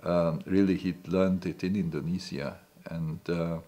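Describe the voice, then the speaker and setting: A man's voice: deep voice, steady tone, measured pace, warm tone. A man in his sixties, not a native English speaker, recalling the past in a seated interview in a living room, hesitant with many restarts. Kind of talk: reflective recollection. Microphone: off-camera, seated interview.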